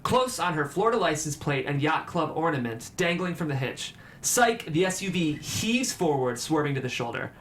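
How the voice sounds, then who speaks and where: A man's voice: on the high side, lively intonation, moderate pace, fairly bright tone, a little hiss or hum. A man hosting and narrating a screenplay table read, presenting the script to an audience. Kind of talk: show presenting and scripted stage-direction narration.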